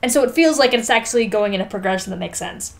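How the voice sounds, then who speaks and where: A woman's voice: a little high, lively intonation, fast talker. A young woman co-hosting a podcast, chatting casually and emphatically about a film, with plenty of swearing and filler likes. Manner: informal, sweary, emphatic, frequent "like". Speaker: podcast co-host. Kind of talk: casual film discussion chat.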